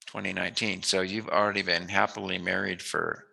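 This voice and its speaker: Man, middle-aged, lowish pitch, very forceful tone, casual interviewer, jokey storyteller. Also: level tone, brisk, creaky, rough recording. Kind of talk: casual reminiscing interview chat.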